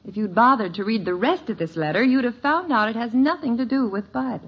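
Wry, animated American sitcom mother. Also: on the high side, brisk, husky. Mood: wry amusement. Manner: wry, composed. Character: sensible, wryly amused suburban mother and wife. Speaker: actor in a family situation comedy. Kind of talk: 1950s radio sitcom acting. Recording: muffled, noisy old radio recording.

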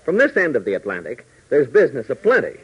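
A man's voice: mid-range voice, huge pitch swings, quick pace, slightly rough. A man narrating and announcing a 1940s radio serial with dramatic, theatrical delivery. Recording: noisy, dull vintage 1940s radio recording.

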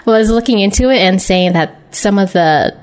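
A woman's voice: medium pitch, darkish tone. A woman co-hosting a podcast, chatting casually and jokingly as she presents gaming news. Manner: chatty, jokey, quick to laugh. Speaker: podcast co-host.

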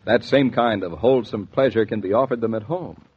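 A man with a husky voice announcing in a genial, polished 1940s sponsored radio style. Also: lowish voice, a little fast. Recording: tinny, band-limited 1940s radio transcription.

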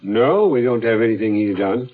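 A matter-of-fact art dealer in an old radio drama. His voice is low and husky, and he speaks in an unhurried, knowing way. The recording is narrow and phone-like. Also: expressive, soft background music. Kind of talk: 1970s radio drama acting.

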